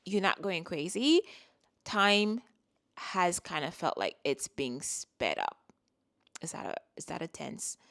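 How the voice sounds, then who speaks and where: A woman's voice: lowish voice, very animated, slow pace, creaky, a bit bright. A woman whose first language is French, giving a casual spiritual talk as an astrologer, rambling and repeating words as she reassures her viewers.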